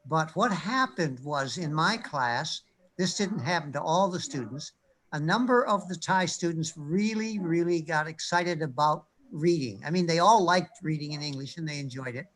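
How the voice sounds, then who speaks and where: A man on a discussion panel, telling anecdotes in a thoughtful way with frequent pauses and fillers. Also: a little high, animated, fairly bright tone, low-quality recording. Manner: thoughtful, many fillers, storytelling. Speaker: panel speaker, reading researcher.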